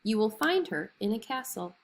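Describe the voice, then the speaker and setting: A woman's voice: fairly high pitch, big pitch swings, moderate pace. A woman voicing a terse magic talking fish that grants wishes in a fairy tale. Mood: curt.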